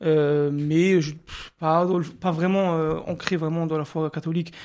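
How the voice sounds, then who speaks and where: A man in his thirties from Moselle, a radio guest telling his life story with many pauses and restarts. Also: on the high side, little pitch movement, a little mellow. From Moselle, France. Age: 30s. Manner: hesitant, many fillers and restarts.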